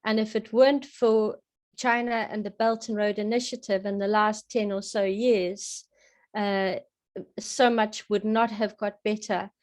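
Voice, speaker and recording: A woman giving measured, earnest interview answers as a guest analyst, deliberate and often pausing. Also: mid-range voice, steady tone, darkish tone. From South Africa. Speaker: interview guest, political analyst and website creator.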